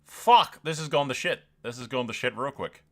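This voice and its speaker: A man hosting a gaming video with excitable let's-play commentary, swearing freely and swinging between dramatic exclamations and dry understatement. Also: mid-range voice, moderate pace.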